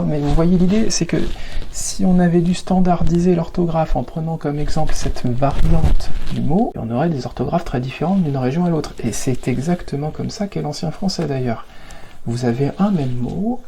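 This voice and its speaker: A man's voice: on the high side, gravelly, dark tone, slight background noise. A man from Paris with a Parisian French accent, explaining things informally in a conversational, digressive way and stressing key words. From Paris, France. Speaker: video host explaining etymology.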